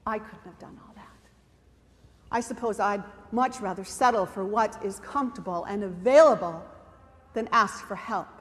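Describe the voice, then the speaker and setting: A woman's voice: mid-range voice, moderate pace, pitched below the performer's own voice, natural voice. A woman playing a devoted, proud mother in a dramatic monologue, telling her story emphatically with conversational asides. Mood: earnest, reflective. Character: devoted, proud mother of a blind beggar son. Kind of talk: dramatic monologue reading at a church service. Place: church, during a service. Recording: reverberant church hall.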